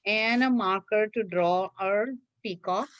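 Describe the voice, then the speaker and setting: Slow-paced woman teaching a children's craft class. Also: mid-range voice, smooth, lo-fi sound. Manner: patient, repetitive, checks with listeners. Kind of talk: step-by-step craft instruction for children.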